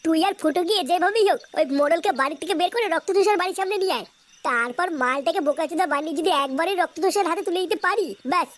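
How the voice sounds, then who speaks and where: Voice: extremely high pitch, racing. A performer voicing an earnest, coarse-mouthed young village ringleader in a comic cartoon dub. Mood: scheming, bossy.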